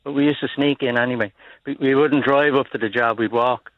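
An older Dublin man with an Irish accent, phoning in to a radio show. The call comes through on a dull, muffled line that sounds dry and close. He tells his story in a halting, plain-spoken way. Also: medium pitch, steady tone, no echo. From Dublin, Ireland. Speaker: caller. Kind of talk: phone-in call. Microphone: phone.

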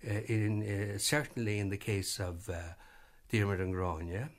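Halting low-voiced man. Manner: halting, many fillers and restarts. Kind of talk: reflective interview talk.

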